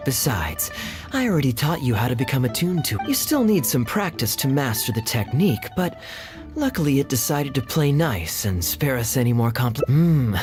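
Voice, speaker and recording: Casting: performer voicing a sly, confident game gambler, teasing and theatrical, very lively pitch, music underneath. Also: moderate pace, natural voice. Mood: playful, smug. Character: suave playful gambler, cunning and self-assured trickster. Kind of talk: video game voice acting.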